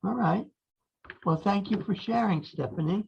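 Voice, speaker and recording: A woman's voice: mid-range voice, moderate pace, vocal fry, husky. A woman guiding a support meeting, speaking politely and briefly. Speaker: meeting facilitator. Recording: dull, muffled far-off audio.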